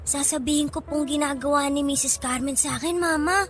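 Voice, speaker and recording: Very high-voiced little boy, plaintive. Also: fairly quick, a bit bright, slight background noise. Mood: innocent, curious. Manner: childlike, plaintive, polite. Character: small hungry boy, innocent, pleading, loyal to his mother. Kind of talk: Tagalog radio drama acting.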